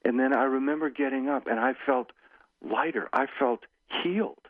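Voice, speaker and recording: A man in his sixties, a guest author speaking calmly and leading a slow guided meditation. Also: mid-range voice, dry recording. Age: 60s. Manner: slow, soothing guiding voice. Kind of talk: guided meditation and interview talk. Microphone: phone call. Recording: tinny phone line.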